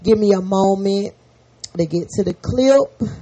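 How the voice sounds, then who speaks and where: Hoarse woman preaching a fervent prayer. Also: medium pitch, measured pace, mellow, poor audio. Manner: fervent, repetitive, peppered with amen. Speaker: podcast host and prayer leader. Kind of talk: spoken prayer and encouraging sermon.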